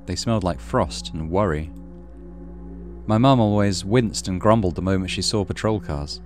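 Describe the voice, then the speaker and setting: A man's voice: low voice, moderate pace, darkish tone, soft background music. A man reading a horror visual novel aloud as a dramatic narrator for a let's play.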